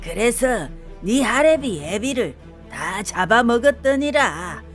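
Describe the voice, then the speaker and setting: A menacing ghost of a wronged court eunuch in a theatrical folktale. The voice is high and swoops widely, dragging out each taunting threat at a slow pace over music. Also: female voice, big pitch swings. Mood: vengeful, accusing.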